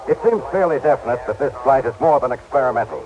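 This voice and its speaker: A man's voice: medium pitch, expressive, fast, lightly acted. Formal radio reporter played by a man, dull sound. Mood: composed, expectant. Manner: formal, factual. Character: brisk, composed male radio reporter at launch site. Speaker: news reporter. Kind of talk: 1950s-60s live radio news report. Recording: dull, noisy vintage radio broadcast.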